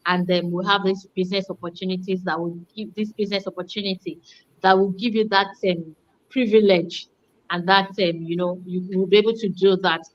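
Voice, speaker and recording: A woman's voice: fairly low pitch, flat delivery, a little fast. Cheerful woman from Nigeria, dull audio. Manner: cheerful, laughs easily, thankful. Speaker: guest mentor. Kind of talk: livestream self-introduction.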